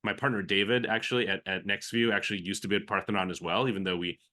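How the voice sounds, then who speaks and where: A man's voice: on the low side, steady tone, fast talker, bright, forceful tone. A man in his forties, born in the Philippines with an American accent, speaking as a podcast guest in a reflective way with many fillers and restarts. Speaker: venture capital guest. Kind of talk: conversational podcast interview.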